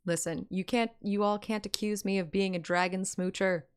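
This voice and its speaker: A woman's voice: mid-range voice, steady tone, normal speed, smooth. A woman streaming a story game, moving between playful, self-mocking chat and dramatic read-aloud narration. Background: game music.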